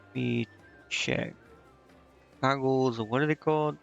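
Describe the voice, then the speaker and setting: Gravelly-voiced male game streamer, slightly dull mic. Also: medium pitch, fairly even intonation, slow, soft background music. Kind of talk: casual playthrough commentary and reading game dialogue aloud.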